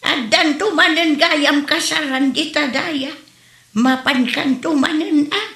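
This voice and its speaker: Slow, high-pitched woman playing a teasing mother. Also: bright, forceful tone, heavily acted. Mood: chiding. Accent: Ilocano. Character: teasing, scolding mother of a grown son. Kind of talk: Ilocano comedy radio drama acting. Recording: echoey room.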